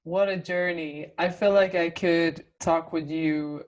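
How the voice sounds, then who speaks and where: A woman's voice: lowish voice, fairly even intonation, slow, darkish tone. A woman in her twenties hosting an interview, asking questions in a friendly, giggly way. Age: 20s.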